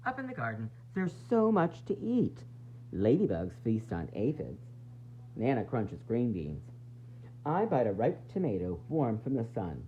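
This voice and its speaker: A man reading a children's picture book aloud in an expressive, dramatic, sing-song way, with varied emphasis. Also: mid-range voice, unhurried. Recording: dull lo-fi sound, faint noise and hum.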